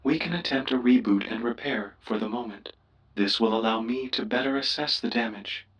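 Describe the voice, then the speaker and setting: A man voicing a polite, cautious starship AI in a sci-fi game, speaking in a formal, deferential, measured way. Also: medium pitch, fairly bright tone, far from the mic, muffled recording. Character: polite, cautious male ship computer AI, formal and curious. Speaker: ship's computer voice assistant. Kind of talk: scripted sci-fi game voice pack.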